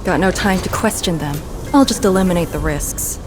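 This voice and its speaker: A woman's voice: on the low side, moderate pace, close to an everyday voice. Woman acting a terse, cold female fighter. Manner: terse, stern, matter-of-fact. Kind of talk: video game voice acting. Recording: loud music behind the dialogue.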